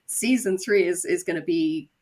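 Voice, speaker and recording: A woman talking conversationally as an interview guest, telling anecdotes with quoted asides and a creaky edge. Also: fairly low pitch, moderate pace, darkish tone. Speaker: guest, audio drama writer and co-creator.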